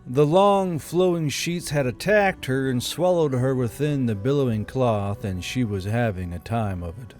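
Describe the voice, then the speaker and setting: Mellow male audiobook narrator. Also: medium pitch, unhurried, hardly any pauses, faint music behind.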